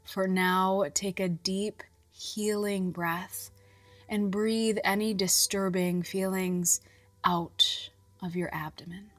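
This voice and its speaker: A woman reading a scripted guided relaxation in a soft, soothing, measured voice with long pauses and breathy phrase endings. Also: mid-range voice, fairly even intonation, dry recording. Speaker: guided meditation narrator. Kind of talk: scripted hypnobirthing relaxation guidance. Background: music.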